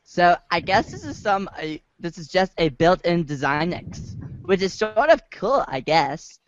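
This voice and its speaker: A woman's voice: on the low side, very animated, measured pace. A woman reading a character's lines aloud in a casual way for a fan podcast. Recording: noisy, dull lo-fi connection.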